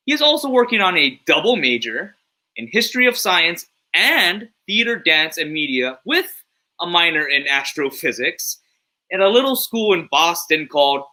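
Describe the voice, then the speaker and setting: Male host, upbeat and friendly, bright and a little high. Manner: upbeat, friendly, lively interjections. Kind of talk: casual interview chat.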